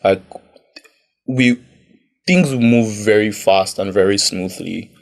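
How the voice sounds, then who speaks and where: A young man with a low voice, co-hosting a studio podcast. He speaks sincerely and plainly, padding his thoughts with frequent "like"s. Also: slow pace, creaky. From Nigeria. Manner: earnest, fills with "like". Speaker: podcast co-host. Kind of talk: casual podcast chat.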